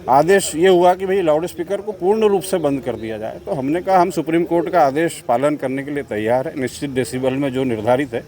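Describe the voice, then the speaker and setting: Man in interview explaining what happened, bit high pitched, officialish formal wording. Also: brisk, hardly any pauses, warm tone. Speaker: community representative interviewee. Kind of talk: spontaneous interview account.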